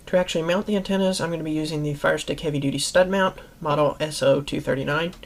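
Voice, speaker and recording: A man's voice: on the high side, steady tone, quick pace, gravelly. A man hosting a how-to video, narrating an instructional product review in a methodical, plainly explanatory way. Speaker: host of a how-to video series.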